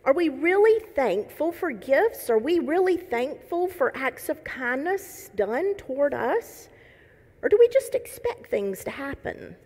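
A woman's voice: high-pitched, very animated, unhurried, a little mellow. A woman pastor giving a sermon, conversational and lightly humorous as she reads scripture and tells a story. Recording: long hall reverb.

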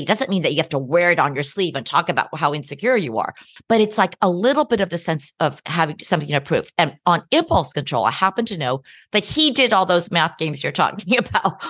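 A middle-aged woman speaks in a lowish voice that swings up and down freely as she answers an interviewer. She talks in an animated, chatty way and laughs often. The sound is dry and close. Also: fast, no room sound. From United States. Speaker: guest, law professor and author.

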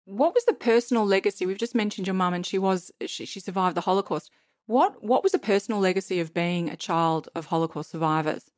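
A woman's voice: mid-range voice, a little fast, darkish tone, no room sound. A woman interviews her guest in a mid-range voice, recorded close and dry with no room sound. She asks long, empathetic questions and often restarts phrases while building up to them.

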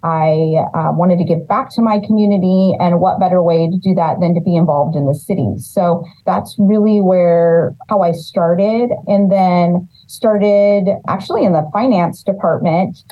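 Californian woman guest, lower pitch, earnest steady explaining, muffled lo-fi audio. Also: unhurried, few pauses. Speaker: city development director, guest. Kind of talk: interview answers. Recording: dull lo-fi audio.

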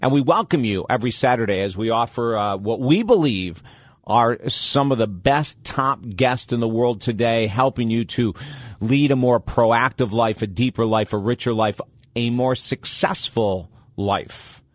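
A man's voice: medium pitch, measured pace, no echo, clean studio sound. A man hosting a radio talk show, talking with upbeat, enthusiastic promo patter.

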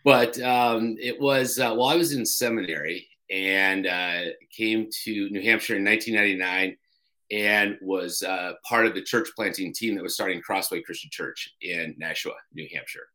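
A man's voice: on the low side, bright, forceful tone. A middle-aged man from Colorado, a pastor and church co-founder, speaking as a radio guest with frequent fillers and restarts. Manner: halting, many "uh"s and restarts. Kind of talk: interview guest.

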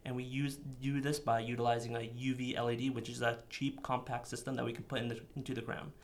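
A young man, a PhD student, calmly explaining a technical method in an interview. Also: medium pitch, quick pace. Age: young adult.

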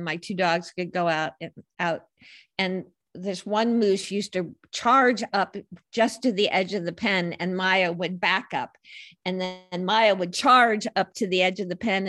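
A woman's voice: mid-range voice, a bit bright, dry recording, studio quality. An older woman who is a life coach and podcast guest, telling stories in a reflective, meandering way and often correcting herself mid-sentence. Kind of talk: casual conversational storytelling.

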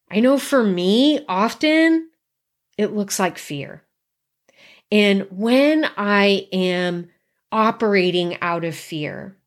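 A woman in her fifties, a life coach telling a personal story in a slow, reflective way with repeated phrases. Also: mid-range voice. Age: 50s.

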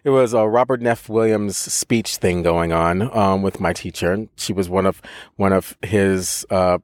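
A man co-hosting a podcast, telling a story in a lively, casual way, with frequent laughs, restarts and casual swearing. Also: low-pitched, measured pace, no room sound. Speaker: podcast co-host, voice teacher.

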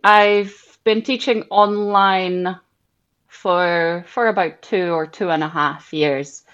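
A young Czech woman with a slightly low voice talks through her answers in an interview. Her English carries a Czech accent with a hint of Scottish. She pauses to think and often restarts a phrase. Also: steady tone, a bit bright, dull audio. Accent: Czech, with a slight Scottish lilt. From Czech Republic. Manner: thoughtful, with frequent ums and small restarts. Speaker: guest, English teacher.

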